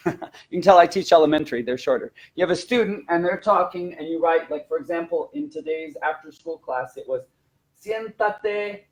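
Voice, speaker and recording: A man's voice: a little high, low-quality recording. A man who teaches elementary language classes, giving informal, encouraging and conversational advice in an instructional webinar.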